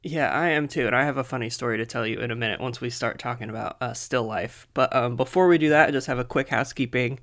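A man co-hosting a casual podcast, chatty with lots of fillers, soft and a little husky as he comes down with a cold. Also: medium pitch, fast, hardly any pauses. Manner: chatty, laughs often, many fillers. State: coming down with a cold. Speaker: podcast co-host.

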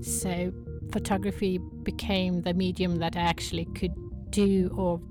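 A woman with a Swedish accent chatting as an interview guest, searching for words in halting English. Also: lowish voice, level tone, music in the background. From Sweden. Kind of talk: casual interview chat.

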